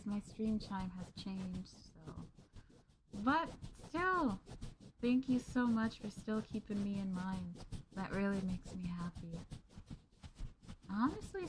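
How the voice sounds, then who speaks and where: A young woman streamer chatting gently and sweetly with her viewers in a maid roleplay, calling them master. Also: mid-range voice, background noise.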